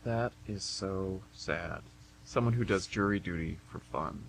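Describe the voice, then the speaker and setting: Man as a sardonic slacker, lowish voice, faint hum. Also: a little slow, vocal fry, rough recording. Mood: mocking. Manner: sarcastic, laid-back, mocking. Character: sardonic, unemployed slacker guy. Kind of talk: amateur radio comedy play acting. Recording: hum and faint background noise.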